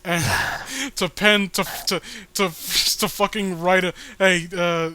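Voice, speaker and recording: A young man co-hosting a casual comedic read-along of a comic, excitable and swearing, often stumbling over his words. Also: high-pitched, slow pace, a little hiss or hum. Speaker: co-host reading a comic aloud.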